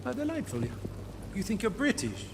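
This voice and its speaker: An actor playing a sadistic, cultured Nazi officer in a video game, with a slow, mockingly polite, theatrical delivery. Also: male voice, high voice, wildly swooping pitch, vocal fry, heavily acted. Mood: mocking menace. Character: sadistic Nazi officer, cultured, coldly amused, menacing interrogator. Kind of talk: cinematic video game acting. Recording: rain and heavy ambient noise.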